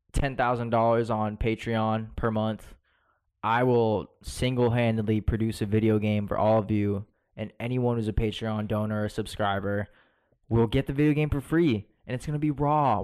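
A man's voice: medium pitch, darkish tone, clean tone. A young man co-hosting a podcast, talking loosely and riffing with plenty of swearing. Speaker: podcast co-host. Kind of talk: casual podcast chat.

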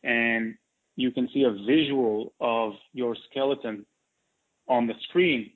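A man in his forties answers interview questions, pausing often with fillers as he talks. His voice comes through a narrow, tinny band like a phone line. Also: mid-range voice. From Israel. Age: 40s. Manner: hesitant, many fillers. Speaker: interview guest, company co-founder. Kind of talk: interview conversation.

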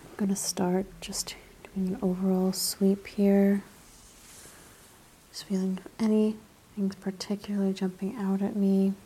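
A woman speaking in soft, breathy ASMR style, often dropping into a whisper as she gives soothing, unhurried guided relaxation. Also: mid-range voice, completely flat delivery, rough recording. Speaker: ASMR practitioner and show host.

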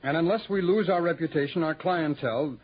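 An American actor playing an indignant, defensive rest-home proprietor in a mid-century radio drama, husky, blustering and halting under pressure. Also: male voice, fairly high pitch. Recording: dull old radio recording.

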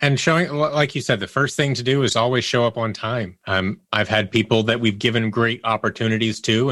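A man's voice: on the low side, bright, forceful tone, no room sound. Candid man telling anecdotes, dry sound. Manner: animatedly acts out quoted dialogue, candid. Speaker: interview guest, audio drama producer. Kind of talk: casual conversational storytelling.

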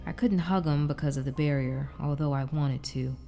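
A woman's voice: low-pitched, normal speed, vocal fry, warm tone, faint music behind. A woman playing an anguished but determined wife and mother in an audio drama, narrating in a confiding, reflective way. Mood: wistful. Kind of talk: first-person dramatic narration.

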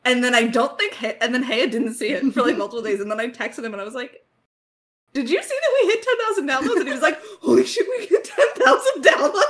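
Woman, bright voice, animated pitch swings, casual podcast chat, playful and joking. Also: fast, a little hiss or hum. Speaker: podcast co-host, writer and voice actor.

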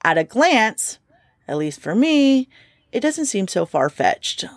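Woman podcast host spinning a weird story, pitch all over the place, dry close sound. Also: big pitch swings, slow pace, no echo. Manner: playful, swears, reads quotes aloud. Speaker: podcast co-host telling a true-crime story. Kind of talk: casual storytelling chat.

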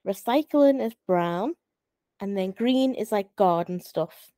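A woman's voice: medium pitch, lively intonation, takes it slowly, warm tone, dry recording, clean studio sound. A woman with a Liverpool accent co-hosting a podcast, chatting casually and acting out the stories she tells. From Merseyside, England. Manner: chatty storyteller, acts out quotes. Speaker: podcast co-host. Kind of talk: casual chat. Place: home recording with a faulty mic.

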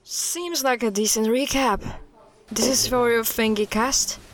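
A woman playing a brisk, secretive private investigator in audio drama dialogue. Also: a little high, lightly acted. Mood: wry, casual.

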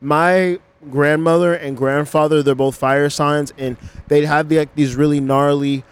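A man from Los Angeles, a guest in a casual interview, recalling his early memories in a relaxed, reflective way full of "like" and "yeah". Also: a little high, unhurried, smooth.

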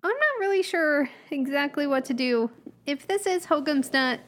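A woman's voice: sits high, animated, normal speed, a bit bright, slight background noise. A woman playing a tabletop character, hesitant and thinking aloud with many ums. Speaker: player. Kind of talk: in-character roleplay at a tabletop game.

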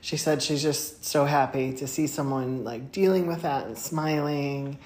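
Low-voiced woman telling a story. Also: a little slow. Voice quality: laughs often while talking. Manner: animated retelling, voice jumps high when excited. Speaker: podcast co-host. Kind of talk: casual storytelling chat. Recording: echoey room with faint noise.

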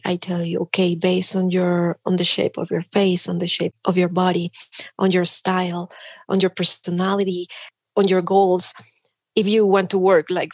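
Gravelly Mexican-accented woman, dry sound. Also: fairly low pitch, a little mellow, no echo. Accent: Mexican Spanish. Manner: earnest, many restarts and "like" fillers. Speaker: guest, image consultant. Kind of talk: casual interview talk.

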